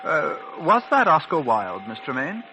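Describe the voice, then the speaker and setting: An actor playing a polite, curious curate with a deferential, tentative manner in a 1940s radio drama. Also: male voice, a little high, wildly swooping pitch, unhurried, sharp tone, exaggerated voice, low-quality recording. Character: polite, curious young curate, newly arrived. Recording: old 1940s radio recording.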